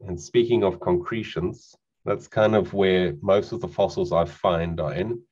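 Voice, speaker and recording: A middle-aged man with a South African accent gives a relaxed online presentation with lots of fillers, over a video call microphone. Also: deep voice, measured pace, husky, highs cut off. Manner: relaxed, conversational, frequent fillers.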